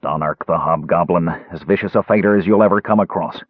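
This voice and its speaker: A man voicing a cantankerous old dwarf arena keeper in a fantasy audiobook, with a rough voice and a scornful, folksy manner. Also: sits low, fairly quick, no echo, dull audio. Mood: wistful grumbling. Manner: scornful, snappish, folksy grammar.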